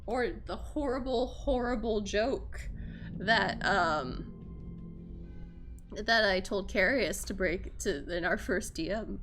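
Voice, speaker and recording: A woman streamer reading a visual novel aloud with theatrical, casually sweary live commentary. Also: mid-range voice. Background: game music.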